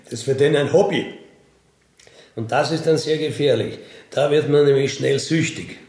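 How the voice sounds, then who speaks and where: A man's voice: medium pitch, normal speed, darkish tone. A middle-aged or older man with an Austrian accent, candidly recalling his own life in a colloquial, matter-of-fact, unapologetic way. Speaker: ex-convict interviewee telling his life story. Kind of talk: candid conversational recollection.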